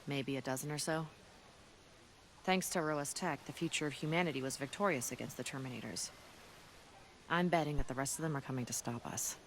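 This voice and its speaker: An actor plays a battle-hardened resistance fighter from an apocalyptic future in a video game cutscene. The delivery is earnest, solemn and deliberate. Ambient noise is clearly audible behind the voice. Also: mid-range voice, close to an everyday voice. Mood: grave, resolute.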